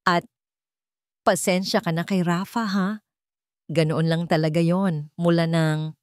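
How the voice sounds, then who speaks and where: A woman storyteller acts out a friendly young woman in a family drama. She talks softly and reassuringly, in a gentle manner, with a dry, close sound. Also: lowish voice, fairly even intonation, a little slow, clean tone, no echo, natural voice. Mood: gentle, comforting. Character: gentle, soft-voiced young woman, friendly adopted cousin.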